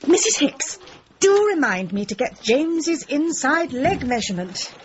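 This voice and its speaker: A woman's voice: high-pitched, very animated, measured pace. An actress playing a flirtatious, theatrical village lady, effusive and arch, in a radio comedy drama. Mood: gushing. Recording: dull audio with clear background noise.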